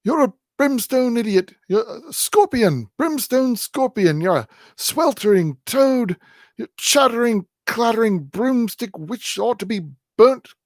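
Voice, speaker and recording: A male reader voicing a spiteful, fawning miserly old man, shrill, cracked and out of breath. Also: lively intonation, unhurried, darkish tone, no echo, clean studio sound. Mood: furious.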